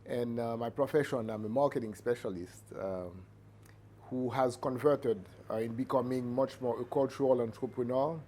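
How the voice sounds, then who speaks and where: Middle aged haitian guy being interviewed, soft mellow tone, halting, bit of background noise. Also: medium pitch. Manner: measured, halting, searching for words. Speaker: Vodou priest and cultural entrepreneur. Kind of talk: reflective interview answer. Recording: noticeable background ambient noise.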